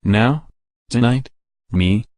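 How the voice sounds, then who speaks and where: An actor playing a warm, earnest, gently excited old man who claims to be Santa Claus, in a radio play. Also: medium pitch, extremely slow, no echo, studio quality. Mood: surprised, cheerful.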